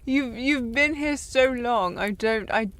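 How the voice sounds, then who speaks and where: A woman playing a tipsy, haughty young noblewoman in a historical audio drama, teasing and rambling in a halting way. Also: a little high, fairly bright tone. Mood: haughty, needling. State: playing slightly drunk. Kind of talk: scripted audio roleplay, period drama.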